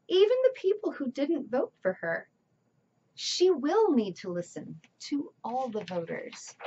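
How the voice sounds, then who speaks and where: A woman reading a children's storybook aloud with deliberate, theatrical emphasis. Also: high-pitched, muffled recording. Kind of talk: expressive read-aloud narration.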